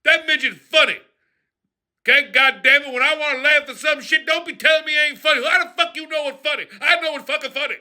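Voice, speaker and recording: A man playing a profane, loudmouthed character who rants and shouts in a comedy bit. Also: very high voice, fairly even intonation, fast, pitched above the performer's own voice, full character voice. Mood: ranting. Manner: profane ranting, shouting, mocking. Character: foul-mouthed loudmouth man named Crazy Rufus.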